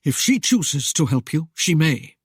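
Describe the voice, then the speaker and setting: A man voicing a cold, aloof nobleman who speaks in a curt, measured way. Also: on the high side, very animated, breathy edge, dry recording, clean studio sound, full character voice. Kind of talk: audiobook character voice.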